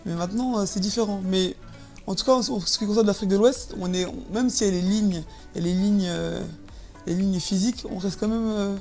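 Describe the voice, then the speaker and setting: Halting young woman being interviewed, medium pitch. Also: darkish tone, faint music behind. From Paris, France. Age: about 30. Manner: halting, repeats words as she searches for phrasing. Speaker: interview guest. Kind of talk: casual interview conversation.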